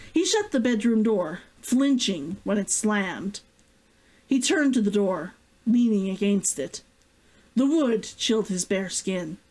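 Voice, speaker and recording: A woman reading a novel aloud as its author, in an expressive audiobook-style narration. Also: mid-range voice, rough recording.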